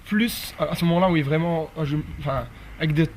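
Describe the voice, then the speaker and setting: Young man, halting answers, slightly high voice, clear noise behind. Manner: hesitant, searching for words. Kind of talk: documentary interview.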